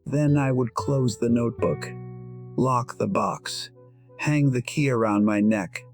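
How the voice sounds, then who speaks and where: A man playing a wistful musician in his sixties, telling his own story in a reflective, dryly wry, measured way over music. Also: medium pitch. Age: 60s. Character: wistful 62-year-old male musician narrating his life. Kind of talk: scripted first-person storytelling. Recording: soft music behind the voice. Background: instrumental music.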